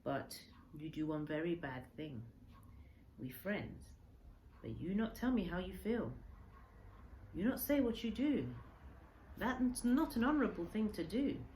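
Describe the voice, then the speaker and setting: A woman voicing an earnest, gentle, sorrowful old Japanese man in a children's storybook, speaking halting, simple broken English. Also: mid-range voice, voice pushed up. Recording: echoey room, faint background noise.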